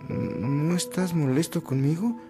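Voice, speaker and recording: A man's voice: fairly high pitch, a little slow, over music, lightly acted. An actor playing a humble, grateful young man bound for the priesthood in a radio drama, earnest and emotional. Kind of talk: Spanish-language radio drama acting.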